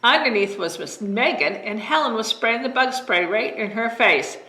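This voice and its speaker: Bright-voiced woman reading bedtime stories. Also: on the high side, normal speed. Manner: gentle expressive read-aloud. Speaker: librarian storyteller. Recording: roomy echo.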